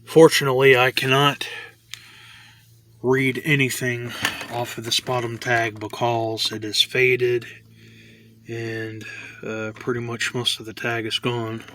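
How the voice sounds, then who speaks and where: A man talks through a casual show-and-tell in a tentative, halting manner. His voice is bright and quite monotone, with little rise or fall. Faint noise sits behind him, as in a homemade vlog. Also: mid-range voice. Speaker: hobbyist vlogger.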